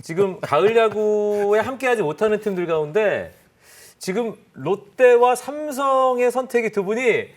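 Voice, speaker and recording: A man's voice: sits high, lively intonation, unhurried. A man hosting a casual sports panel, moderating and responding to the analysts. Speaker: sports show host.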